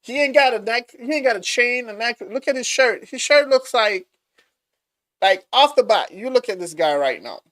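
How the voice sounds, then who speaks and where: A man hosting a live reaction stream, talking excitedly to his viewers and calling them bro. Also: extremely high pitch, moderate pace. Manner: excited, talks to viewers, says bro a lot.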